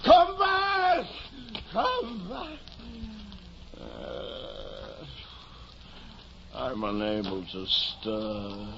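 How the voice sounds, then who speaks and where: An actor playing a deluded, pompous aging gentleman who thinks he is a knight, declaiming theatrically in a 1950s radio drama. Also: on the low side, huge pitch swings, drawn-out words, gravelly, long pauses, exaggerated voice. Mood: pained, groaning. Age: middle-aged. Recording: muffled, noisy old radio recording.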